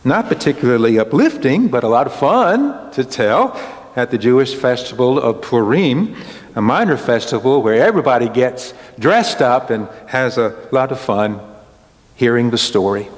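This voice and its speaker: A man in his sixties preaching a storytelling sermon as a pastor, deliberate and phrase by phrase. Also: mid-range voice, lively intonation. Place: indoors at a church pulpit. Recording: echoey hall, long reverb.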